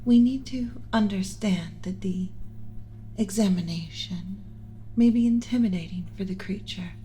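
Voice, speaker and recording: A woman voicing a gentle, motherly alien scientist in an ASMR roleplay, speaking in a soothing, measured, instructional, often whispery way. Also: mid-range voice, slight background noise, close to an everyday voice. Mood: gentle, instructive. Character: gentle motherly alien scientist teaching a class about pet human.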